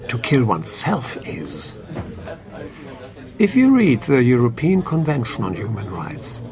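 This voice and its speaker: Calm formal clinic doctor, radio play, voice moves up and down a lot, people chattering behind him. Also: male voice, mid-range voice. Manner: measured, formal, explanatory. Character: calm, principled male doctor at an assisted-dying clinic.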